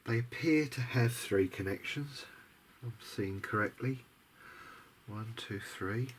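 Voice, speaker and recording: A man's voice: medium pitch, slow. A man giving casual, unscripted commentary as he works at a bench, musing and tentative as he thinks aloud like a hobbyist making a video diary. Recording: noticeable background noise.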